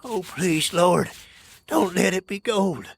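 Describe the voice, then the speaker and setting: A man playing a frantic old prospector with a rural Southern American accent and a gravelly, cracking voice in an audio drama. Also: high voice, lively intonation, slow, no echo, exaggerated voice. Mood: anxious pleading. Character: frantic old male prospector guarding a secret. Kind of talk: scripted audio drama acting.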